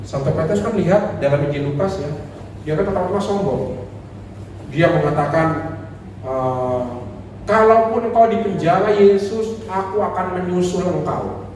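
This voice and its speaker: A man, a priest, giving a lively sermon talk, acting out quoted voices and joking with the audience. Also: sits high, moderate pace, recorded from a distance, a little hiss or hum. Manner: animated, acts out quoted voices, jokes with audience. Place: church hall. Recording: echoey hall recorded from afar.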